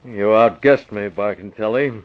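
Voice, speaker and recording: A man plays a masked vigilante of 1940s radio drama with a fairly low voice. He is cool, shrewd and unruffled, giving clipped orders. The old recording is dull and muffled. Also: measured pace, natural voice. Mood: cool, bluffing.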